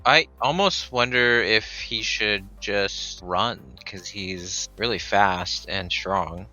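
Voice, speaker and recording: Male player, lowish and very sharp-toned, muffled recording, faint music. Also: takes it slowly. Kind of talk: tabletop game chat.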